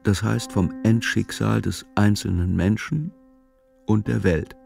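A male radio-play narrator reads literary text very slowly and matter-of-factly. His voice is fairly low and heavy with creak. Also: fairly even intonation, a little mellow, soft background music.

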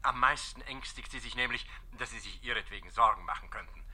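An actor playing a brisk, reassuring middle-aged family doctor in a 1960s German radio play, soothing and insistent. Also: male voice, medium pitch, bright, forceful tone, slightly rough.

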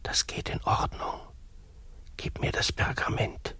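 A man playing a conniving court priest and confessor in a comic radio play, hushed, murmuring and sanctimonious. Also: a little slow, dry recording, whispered. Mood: businesslike.

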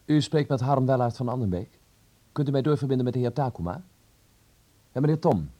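An actor playing a polite, hesitant seventeen-year-old schoolboy in a Dutch radio drama, halting, with a husky voice. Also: male voice, mid-range voice, expressive, dark tone, natural voice. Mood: polite, nervous.

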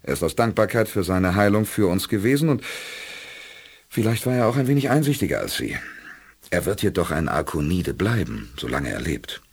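An actor playing a resolute male spaceship commander in a 1980s German sci-fi radio play, speaking with measured authority. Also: low voice, sing-song, husky, full character voice. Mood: calm, conciliatory.

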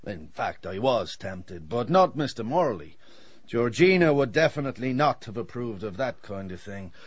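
An actor playing a flippant, sardonic dentist with a roguish streak in a radio drama. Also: male voice, mid-range voice, big pitch swings, normal speed, husky, no room sound. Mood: wry, teasing. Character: wry, roguish, hard-drinking male dentist.

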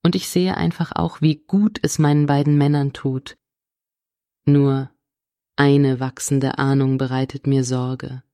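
Woman acting a mellow, low-key earnest psychologist. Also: flat delivery, unhurried, dry recording, studio quality. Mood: blissful, earnest. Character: earnest female psychologist, blissful commune convert, quietly worried. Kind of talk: mock-documentary radio play interview.

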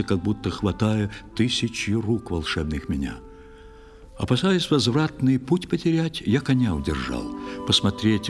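A man's voice: lowish voice, long reverb tail. An actor playing a proud, ardent young knight who tells his adventures in dramatic verse for a children's audio play. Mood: dramatic suspense. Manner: dramatic, expressive declamation. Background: faint music.

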